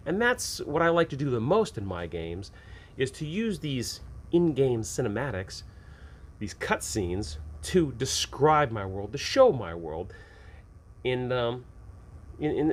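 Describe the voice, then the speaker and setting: A man hosting an advice show, talking through tips and examples in an informal, rambling, conversational way. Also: lowish voice. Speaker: host of a tabletop game-mastering advice show. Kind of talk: conversational instructional talk, explaining with examples. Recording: clearly audible background noise.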